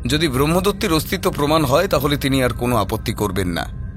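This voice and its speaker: A man's voice: medium pitch, animated, fast, faint music behind. A man narrating a scripted horror audio story in a storytelling style.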